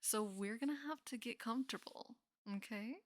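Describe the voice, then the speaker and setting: A young woman playing a teasing, affectionate college best friend in an intimate roleplay audio, speaking softly and often slipping into whispers. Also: fairly high pitch, normal speed, dry recording, clean studio sound, natural voice. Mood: teasing, sleepy. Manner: soft, often dropping into whispers, sighing. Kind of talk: intimate roleplay audio acting, casual and soft.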